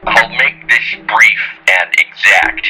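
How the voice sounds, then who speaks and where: A man's voice: on the low side, takes it slowly, bright, forceful tone, soft background music, full character voice. An actor playing a cynical, foul-mouthed male operative in an audio drama, with a dry, sardonic manner. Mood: dry, businesslike. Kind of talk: scripted audio drama dialogue.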